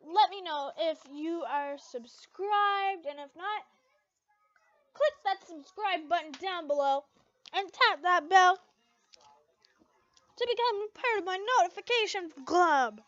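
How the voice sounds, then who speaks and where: High-voiced young woman reading fan fiction. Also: takes it slowly, long pauses, a bit bright, dry recording. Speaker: fan fiction reader and channel host. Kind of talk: expressive first-person story narration and casual vlog outro. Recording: dull lo-fi home recording.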